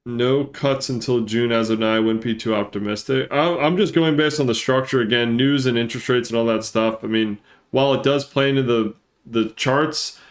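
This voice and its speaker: A man's voice: mid-range voice, fairly even intonation, moderate pace. Stuffy-nosed man explaining charts casually. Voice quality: congested, stuffy nose. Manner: explanatory, repeats points for emphasis. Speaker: live-stream host, market analyst. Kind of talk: casual live chart commentary and trading lesson.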